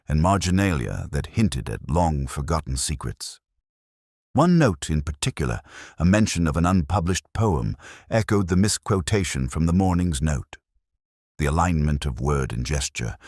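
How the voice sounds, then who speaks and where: A man narrating a scripted first-person detective story in a measured, formal, literary manner. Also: extremely low pitch, no echo, clean studio sound.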